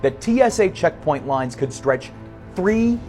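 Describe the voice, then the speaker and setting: A man's voice: fairly high pitch, expressive, unhurried, a little mellow, soft background music. A man delivering a broadcast news report as a television reporter.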